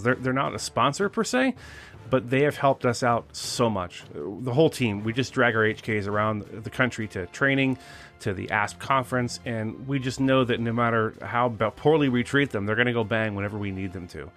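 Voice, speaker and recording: A man's voice: lowish voice, brisk, a bit bright, soft background music. A man hosting a podcast in an upbeat, chatty way, reading a sponsor spot and introducing his guest. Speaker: podcast host.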